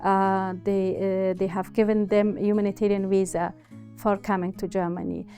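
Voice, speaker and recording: A woman in her forties from Afghanistan with an Afghan accent gives a personal interview account in hesitant, halting English. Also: mid-range voice, level tone, warm tone, faint music behind. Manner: hesitant, halting phrasing in non-native English. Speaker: interviewee, university researcher and women's refugee supporter.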